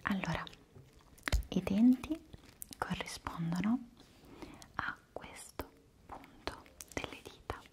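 Young woman whispering slowly, ASMR style. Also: medium pitch, expressive, darkish tone. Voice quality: whispered, breathy. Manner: polite, soothing, unhurried instruction. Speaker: ASMR performer playing a palm reflexology therapist. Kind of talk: ASMR roleplay. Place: small indoor room at a table. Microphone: lavalier microphones close by.

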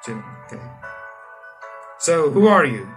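Young man with a higher-pitched voice. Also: slow pace, music in the background. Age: late teens. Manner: animated asides, exclaiming between read passages. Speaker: gaming channel host. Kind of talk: let's play, reading visual novel text aloud with commentary. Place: small room indoors at home.

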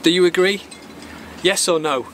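Higher-pitched man presenting outdoors. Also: normal speed, a bit bright. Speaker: sailing channel host. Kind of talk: instructional vlog to camera. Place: outdoors on a small boat in a marina. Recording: outdoor marina background noise.

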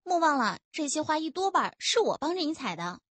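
A woman voicing a cheeky, eager, quick-witted young policewoman in an audiobook. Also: high-pitched, big pitch swings, fairly bright tone, dry recording, pitched above the performer's own voice. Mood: indignant.

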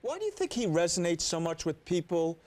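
Middle aged man cohosting a tv chat, highish voice that moves around a lot. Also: big pitch swings, fairly quick, darkish tone. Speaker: talk show co-host. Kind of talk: conversational interview questioning. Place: TV studio, indoors.

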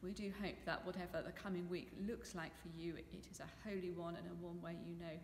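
A woman's voice: on the low side, flat delivery, a little fast. A British woman speaking as a vicar, giving notices to her congregation in a church. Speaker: vicar leading the service. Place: stone church interior. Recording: echoey church with long reverb, faint noise.

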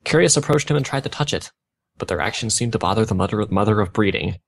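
Low, gravelly man reading fan fiction casually. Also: expressive, fast. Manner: stumbles over odd words, breaks off to comment. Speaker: reader of fan fiction on a gaming video. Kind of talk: reading aloud with casual joking commentary.

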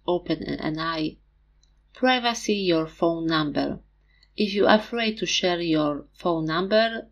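Low-pitched woman explainer, English. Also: dull audio. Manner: simple, slightly unidiomatic English in short phrases. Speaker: host of an educational video channel. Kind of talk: scripted explainer narration. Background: background music.

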